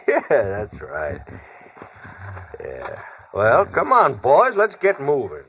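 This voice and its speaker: An actor playing a scheming, folksy gang leader of claim jumpers in an old-time radio western, with blustering, wheedling rustic talk and a husky voice. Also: male voice, mid-range voice, wildly swooping pitch, slow, slight background noise, heavily acted. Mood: falsely conciliatory. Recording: dull, lo-fi old radio recording.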